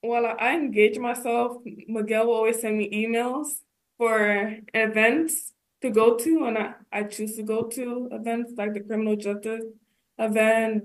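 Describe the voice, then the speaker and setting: A woman's voice: fairly high pitch, rough recording. Plain-spoken young woman answering questions. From United States. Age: young adult. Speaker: college student, guest. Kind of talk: casual interview answers.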